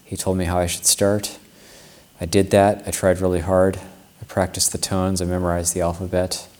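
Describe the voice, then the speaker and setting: Deep, flat-voiced male monk. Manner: reflective and unhurried, with frequent restarts. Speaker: Buddhist monk. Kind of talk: Dharma talk with personal anecdote.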